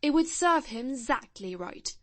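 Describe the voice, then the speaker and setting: A woman's voice: sits high, animated, measured pace, dry recording. Woman doing an earnest, solemn little boy. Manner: grave, blunt, shy childlike earnestness.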